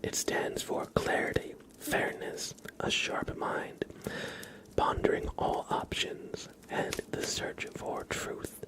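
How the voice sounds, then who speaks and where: Softly whispering man, slow ASMR delivery. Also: poor audio. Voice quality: soft, breathy whisper. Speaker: ASMR livestream host. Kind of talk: whispered ASMR with casual chat to live viewers.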